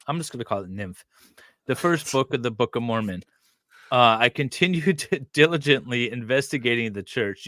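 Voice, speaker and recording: A man's voice: medium pitch, fairly bright tone, no room sound, clean studio sound. A man co-hosting a livestream, reading aloud casually and breaking off for joking asides. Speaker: co-host of a true-crime livestream.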